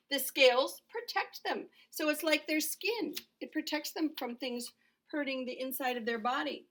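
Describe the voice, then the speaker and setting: A middle-aged woman speaks as a primary school teacher to young children. Her voice sits high and has a bright, crisp edge. She puts questions and then explains the answers in plain, simple phrases. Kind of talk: lesson for young children, read to camera.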